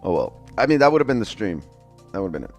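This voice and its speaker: Deep-voiced man streaming trades, casual. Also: sing-song, fast talker. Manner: loose, profane, chatty, talks to chat. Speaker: day trading streamer. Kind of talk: casual live-stream commentary. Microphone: headset mic. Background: faint music.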